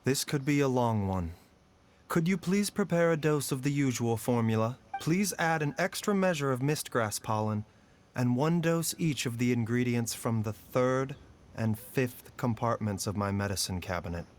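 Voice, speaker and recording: A man voicing a composed doctor in a video game, speaking in a measured, formal way. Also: mid-range voice, expressive, slight background noise, close to an everyday voice. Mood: calm. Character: calm male doctor, measured and careful. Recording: game audio with faint music behind.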